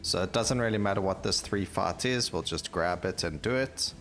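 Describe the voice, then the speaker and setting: A man with a very deep voice narrates his gameplay in a casual, conversational way. There is a gravelly fry to his speech as he thinks aloud and repeats himself. Game music plays behind him. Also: normal speed, fairly bright tone. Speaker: gaming video host.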